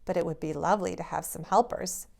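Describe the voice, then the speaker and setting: A woman's voice: lowish voice, steady tone, quick pace, vocal fry, a little mellow, pitched below the performer's own voice. A woman voicing a kindly, jolly old Father Christmas in a storybook, with a hearty manner.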